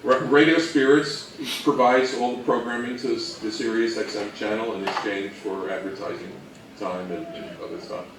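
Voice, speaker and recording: A man's voice: on the low side, steady tone, normal speed, noisy background. A man giving an informal presentation to an event audience, with a dry, joking, self-mocking manner. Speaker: panel presenter. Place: indoor event room, recorded from a distance. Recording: distant, echoey room with audience.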